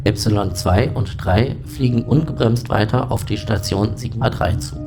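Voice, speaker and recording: A man playing a calm, formal space station computer in a science fiction audio drama, reporting precisely and without emotion. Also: on the low side, close to an everyday voice. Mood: calm, matter-of-fact. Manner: precise, measured, unemotional reporting. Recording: loud music bed behind voice.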